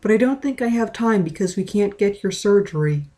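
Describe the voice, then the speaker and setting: A woman's voice: mid-range voice, expressive, a little fast, dark tone, lightly acted. A woman voicing a dying young male sweetheart, earnest and stilted, in an amateur story read-along.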